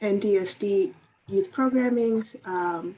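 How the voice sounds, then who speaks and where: A woman's voice: mid-range voice, slow, warm tone, a little hiss or hum, low-quality recording. A woman in her thirties giving a friendly, loose self-introduction on a video call. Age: 30s. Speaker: city youth programs worker.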